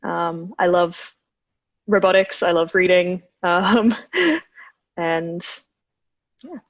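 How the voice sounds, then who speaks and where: A woman's voice: lowish voice, fairly even intonation, drawn-out words, no room sound. A nineteen-year-old woman, talking shyly with many "um"s. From Australia. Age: teens. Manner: shy, many ums. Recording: dull distant dry call audio.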